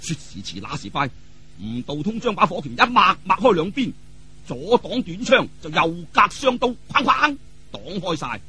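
A male Cantonese storyteller with a high voice that swings widely in pitch. His tone is bright and forceful with a husky edge, and he tells martial arts tales theatrically in colloquial style, throwing in vivid exclamations. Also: sing-song, normal speed. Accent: Hong Kong or Guangdong Cantonese.

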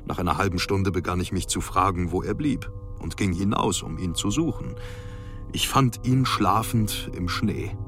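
An actor playing a polar expedition captain, dictating a grim, callous logbook in a measured, matter-of-fact way. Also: male voice, deep voice. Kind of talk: radio drama journal monologue.

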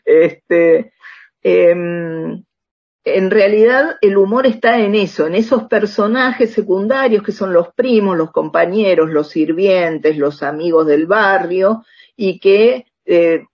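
Slow, halting Argentine woman interviewee. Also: mid-range voice, muffled recording. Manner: halting, reflective, frequent tag questions. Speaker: author interviewed about radio drama. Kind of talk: conversational radio interview.

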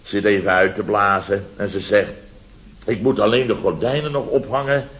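An actor playing a flustered contractor in a 1970s radio comedy, telling domestic mishaps in halting, repetitive sentences full of tag questions. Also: male voice, on the low side, steady tone, fairly bright tone. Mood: rueful. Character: flustered male contractor recounting his chaotic domestic mishaps. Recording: dull vintage radio recording.

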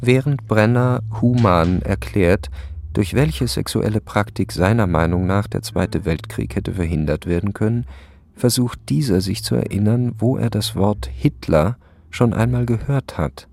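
A man narrating a literary radio drama in a measured, deadpan storytelling manner. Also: on the low side, vocal fry.

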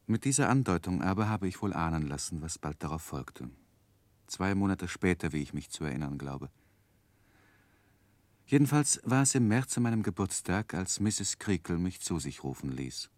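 Sober man looking back, deep-pitched, reflective, measured literary narration, dry studio sound, 1960s radio drama. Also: sing-song, a little mellow, dry recording. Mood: wistful, reflective. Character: grown man soberly remembering his sorrowful childhood.

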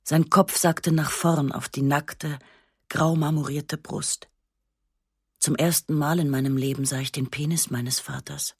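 A woman reading a literary first-person story in a sober, measured, matter-of-fact way. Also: low-pitched, fairly even intonation, husky, no echo, clean studio sound. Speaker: narrator.

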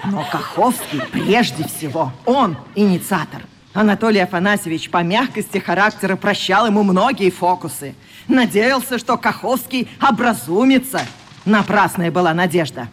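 A woman's voice: mid-range voice, expressive, moderate pace, a little hiss or hum, natural voice. An actress playing a strict, indignant schoolteacher in a radio play, lecturing her class in a stern, haughty manner. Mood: scolding, severe.

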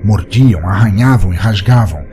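A man's voice: lowish voice, animated, moderate pace, mellow, slight background noise. A Brazilian man working as a podcast host and narrator, reading scripted stories and listener comments. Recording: music bed under the voice. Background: background music.

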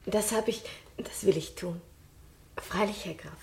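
A meek servant in a radio drama. She speaks in a hushed, deferential manner at an average female pitch. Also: a little slow, vocal fry. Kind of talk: German radio drama acting.

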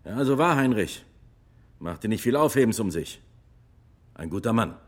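An actor playing a retired army lieutenant testifying in a radio drama courtroom, husky and matter-of-fact. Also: male voice, fairly low pitch, sing-song, normal speed. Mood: matter-of-fact, earnest.

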